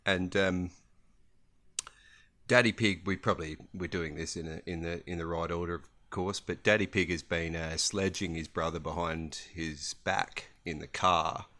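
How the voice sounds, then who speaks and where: A low-pitched man with a bright, forward tone co-hosts a casual podcast. He talks in a hesitant, understated way, feeling out his sentences as he goes. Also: steady tone, moderate pace. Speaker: podcast co-host. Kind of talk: casual chat.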